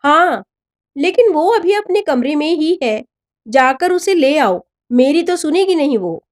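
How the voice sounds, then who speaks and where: A woman's voice: sits high, very animated, unhurried, pitched above the performer's own voice. A woman narrator voicing an exasperated, chiding middle-aged mother in a story. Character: brisk, mildly scolding middle-aged mother.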